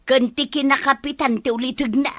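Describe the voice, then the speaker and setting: A woman plays an indignant, gossipy Ilocano villager in a comedy drama. Her voice is bright and fairly high, with an Ilocano accent. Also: steady tone, brisk.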